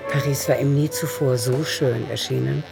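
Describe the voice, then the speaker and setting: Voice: medium pitch, fairly even intonation, moderate pace, gravelly. A narrator telling a literary story in a radio drama, in a composed manner. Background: music.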